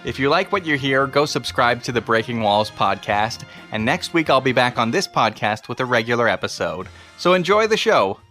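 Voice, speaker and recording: A man's voice: medium pitch, big pitch swings, normal speed, hardly any pauses, sharp tone, faint music behind. A man giving a scripted introduction as host of a podcast.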